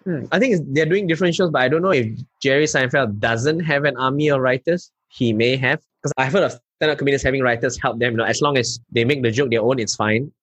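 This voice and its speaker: A man from Malaysia with a Malaysian accent, a stand-up comedian telling lively anecdotes in an interview. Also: mid-range voice, fast talker, few pauses, slightly rough, dry recording, muffled recording. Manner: animated anecdotes, local particle "lah". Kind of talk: casual interview storytelling.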